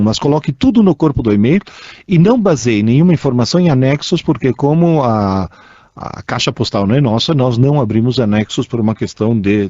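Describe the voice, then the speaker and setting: A man's voice: mid-range voice, darkish tone, dry recording. A man with a Brazilian accent co-hosting a radio show, explaining things conversationally in a teacherly way, repeating points for emphasis. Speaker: radio co-host. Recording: dull lo-fi radio recording.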